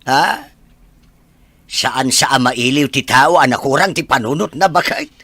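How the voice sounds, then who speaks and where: A man's voice: mid-range voice, a little slow, sharp tone, breathy edge, no echo. A man with an Ilocano accent acting an elderly, grumbling grandfather in an audio drama. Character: elderly grandfather, grumbling about his son.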